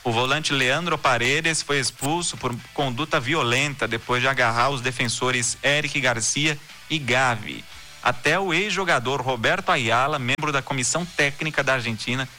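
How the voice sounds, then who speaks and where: A man hosting a news podcast from Brazil, reading the day's stories and listener messages in a steady, measured newsreader delivery. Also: mid-range voice, soft background music.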